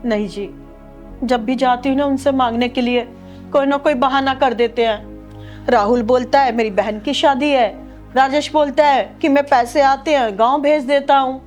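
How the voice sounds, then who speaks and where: Woman acting elderly landlady, worried wife, raised pitch, melodramatic short film, music clearly behind. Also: fairly even intonation, moderate pace, a little mellow, close to an everyday voice. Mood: worried, complaining.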